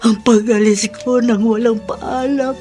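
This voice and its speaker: Actress as sad old filipino mother in a radio drama, slow talker, bit of music under her. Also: fairly high pitch, fairly even intonation, mellow, lightly acted. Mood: tearful remorse. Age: older. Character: sorrowful elderly mother and estranged wife, remorseful.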